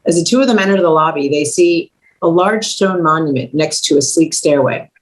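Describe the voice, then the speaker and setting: A woman's voice: low-pitched, a little fast, poor audio. A woman narrating scripted stage directions at a table read.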